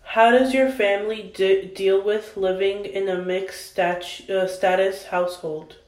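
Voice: medium pitch, fairly even intonation, measured pace, darkish tone. A young interviewer asking questions hesitantly, with many ums. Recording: echoey room.

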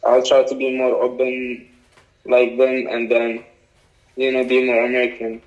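A young man with an Arabic accent, a native Arabic speaker, answering interview questions in a halting way with many fillers. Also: mid-range voice, monotone. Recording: dull lo-fi recording.

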